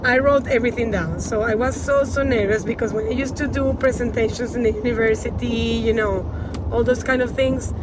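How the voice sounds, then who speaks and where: A woman's voice: on the high side, steady tone, brisk. Spanish-accented woman in a noisy car. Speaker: women's empowerment coach giving tips. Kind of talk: casual advice vlog to camera. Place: inside a car. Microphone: phone at arm's length. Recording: loud car and road noise.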